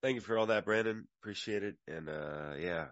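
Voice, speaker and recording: Male panel speaker, deep and a little hoarse, chatty, halting delivery; lo-fi audio. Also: a bit bright, dry recording. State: slightly hoarse from little sleep. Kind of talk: casual reflective talk. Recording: dull lo-fi audio.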